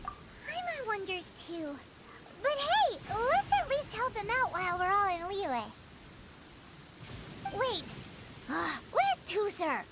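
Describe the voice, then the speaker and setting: Tiny, squeaky fairy sidekick. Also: female voice, sing-song, slow, pauses often, very noisy, heavily acted. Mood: concerned. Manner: refers to itself in the third person. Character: small chirpy childlike female fairy companion, chatty and curious. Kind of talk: anime video game character acting.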